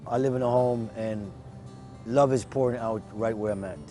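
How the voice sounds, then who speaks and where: A man who is a client of a recovery program speaks gratefully and haltingly about his home. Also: mid-range voice, steady tone, warm tone, soft background music. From Florida.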